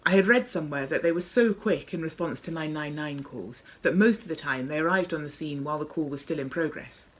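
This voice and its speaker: Low-pitched woman author, wry and understated. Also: fairly quick, few pauses, husky. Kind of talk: author talk and memoir reading.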